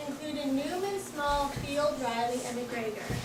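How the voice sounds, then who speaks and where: Children's puppet show champ female performer, bantering and falsely modest, high voice in a noisy room. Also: a little slow. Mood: chiming in. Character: showboating male boxing champion puppet. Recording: echoey, distant, noisy room.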